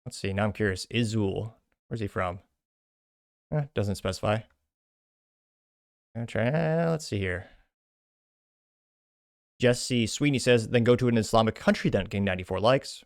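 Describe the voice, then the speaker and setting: A man's voice: lowish voice, expressive, normal speed, pauses often, dry recording. Man casually reading comments, dry close sound. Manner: rambling asides and self-corrections. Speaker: host.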